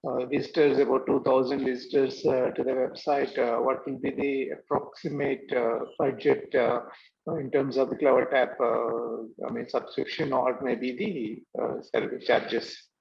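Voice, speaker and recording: Guy on a muffled call, creaky voice, keeps pausing with uh. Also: medium pitch, flat delivery. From India. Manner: hesitant, many "uh" pauses. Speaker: business owner asking a question. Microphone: video call. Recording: dull, muffled call audio.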